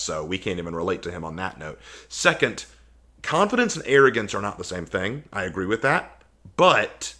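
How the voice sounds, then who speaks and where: A man's voice: fairly low pitch, fairly bright tone. A man giving casual, opinionated video commentary, arguing emphatically with sarcasm and incredulous rhetorical questions. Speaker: video commentator hosting a response video.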